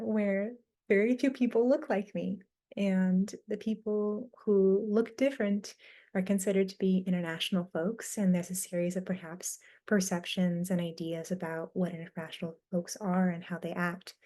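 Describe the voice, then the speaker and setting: Mellow-voiced woman, earnest panelist. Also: mid-range voice. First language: not Mandarin. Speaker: explorer panelist. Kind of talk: webinar panel answer.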